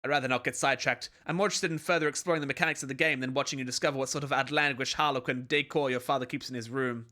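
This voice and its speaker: A man voicing a calm, sardonic, bookish teenage girl who speaks in a dry, measured, wordy way. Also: medium pitch, natural voice.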